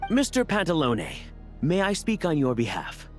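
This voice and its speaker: A man's voice: on the high side, huge pitch swings, normal speed, soft background music. A man voicing a diplomatic official aide in a video game, courteous, formal and explanatory. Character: polite, measured male aide, diplomatic and official. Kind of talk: video game character acting.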